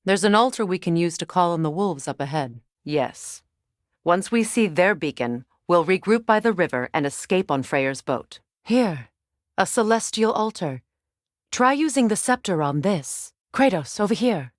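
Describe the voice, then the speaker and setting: Casting: actress as regal Norse sorceress-warrior for a game, lowish female voice, grave and commanding, dry close sound. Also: expressive, no echo, lightly acted. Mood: composed, commanding. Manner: measured, deliberate, formal phrasing. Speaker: voice actor in a video game. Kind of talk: dramatic game character dialogue.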